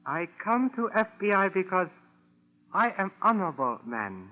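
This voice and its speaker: A man's voice: sits high, big pitch swings, normal speed. A man playing a scheming Japanese secret society leader in a 1940s radio drama, with a Japanese accent and stilted, formal phrasing. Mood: falsely humble. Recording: dull, lo-fi 1940s radio recording.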